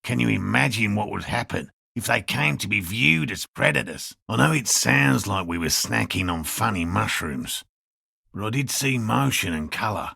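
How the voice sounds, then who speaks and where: A man playing an earnest, slightly defensive cryptozoologist in a video game, with a raspy, gravelly voice and a measured, academic, matter-of-fact manner. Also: on the low side, expressive, sharp tone, no echo, clean studio sound, full character voice. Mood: careful, defensive. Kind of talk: video game character dialogue.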